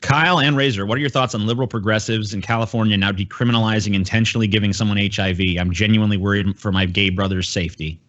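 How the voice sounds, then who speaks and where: A man's voice: lowish voice, fairly even intonation, fast talker, hardly any pauses, a bit bright. A man hosting a live podcast, casually reading out viewer messages and chatting about them. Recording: slightly dull, lo-fi stream audio.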